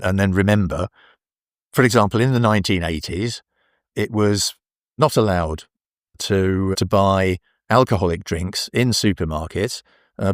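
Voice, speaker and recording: Older man, english accent, very low voice, dry close sound, hesitant. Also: dry recording. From England. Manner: hesitant, many restarts and fillers. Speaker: guest. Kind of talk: casual interview.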